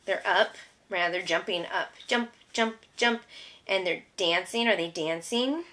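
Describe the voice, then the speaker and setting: A woman's voice: mid-range voice, measured pace, bright, forceful tone. A woman, a homeschooling mother, reading a picture book aloud to her young child with patient, encouraging, simple questions.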